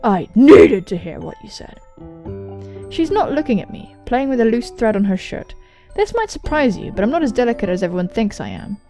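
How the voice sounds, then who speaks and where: A young woman hosts a let's play over soft background music. Her voice sits fairly high and swings widely in pitch as she reads game dialogue aloud. She adds jokey, animated asides and swears freely. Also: normal speed. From England. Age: young adult. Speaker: gaming video host. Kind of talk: let's play commentary and dramatic reading of on-screen dialogue. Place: indoor room. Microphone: large microphone close to the mouth.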